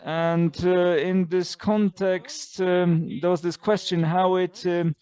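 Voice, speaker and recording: Halting male interpreter, clear voice. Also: high-pitched, level tone, darkish tone. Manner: halting, frequent fillers, uneven bursts of speed. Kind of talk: simultaneous interpretation of an online lecture.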